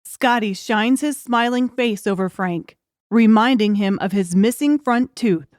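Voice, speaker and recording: A woman's voice: a little high, a little slow, darkish tone, dry recording, studio quality. A woman reading scripted, dramatic audiobook narration.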